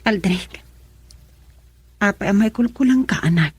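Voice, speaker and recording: A woman's voice: mid-range voice, unhurried, a little hiss or hum, close to an everyday voice. A woman acting as an emotional wife and mother in an Ilocano radio drama. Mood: tender, sad.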